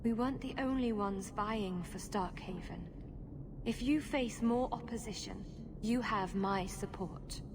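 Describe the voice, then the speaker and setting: An actress playing a proud, contrite noblewoman in a video game, formal and measured, set on making amends. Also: medium pitch, noisy background, close to an everyday voice. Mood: contrite, resolute. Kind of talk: video game cutscene acting.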